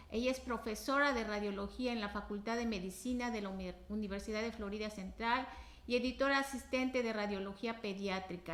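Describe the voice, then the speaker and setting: A woman's voice: fairly high pitch, fairly bright tone, reverberant. A woman host introducing a guest speaker at an academic conference, reading formally with frequent fillers.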